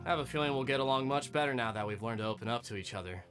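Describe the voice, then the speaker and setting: A man voicing an earnest, grateful young adventurer in a video game, speaking sincerely and gently. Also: medium pitch, rapid-fire, music in the background, natural voice. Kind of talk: English video game voice acting.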